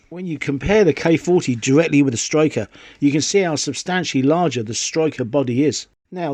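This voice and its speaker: A man's voice: fairly high pitch, darkish tone, no echo. A middle-aged British man narrating an informal product review in a chatty, explanatory way, posing rhetorical questions as he goes. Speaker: video presenter and radio hobbyist.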